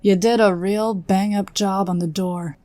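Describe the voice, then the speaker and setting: A woman's voice: mid-range voice, fairly even intonation, measured pace, warm tone, dry recording, lightly acted. An actress playing a loyal female guardian who speaks in a dry, teasing but supportive way. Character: loyal wry female guardian, best friend. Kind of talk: audio drama acting.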